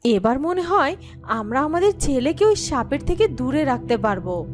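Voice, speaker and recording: A woman's voice: sits high, very animated, moderate pace, music in the background. A woman voicing a devoted, anxious village mother in a fairy-tale cartoon, fretful and dramatic. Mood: tender.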